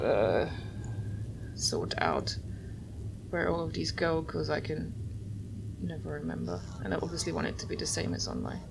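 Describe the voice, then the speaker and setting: A woman's voice: low voice, gravelly, background noise. A woman hosting a gaming video, giving casual, musing commentary as she plays. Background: game music.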